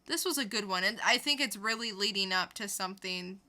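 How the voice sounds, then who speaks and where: A woman's voice: medium pitch, fairly quick, pushed and bright. A woman co-hosting a podcast, chatting casually through a comic book review in an informal storytelling way. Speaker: podcast co-host.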